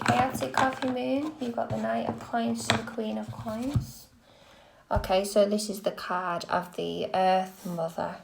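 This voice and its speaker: A woman reading tarot on a live stream, gentle and soothing, a little croaky from a cold. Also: medium pitch, steady tone, measured pace, slight background noise, low-quality recording. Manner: gentle, soothing, lots of okays. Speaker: tarot reader.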